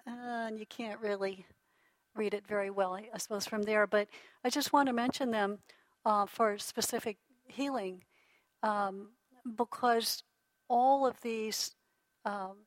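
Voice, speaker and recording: Woman, middle-aged, lecturer, calm, reflective, frequent restarts, soft laughs, medium pitch, dry close sound. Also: unhurried, no room sound, poor audio. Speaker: lecturer on holistic healing. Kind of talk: relaxed teaching talk to a class.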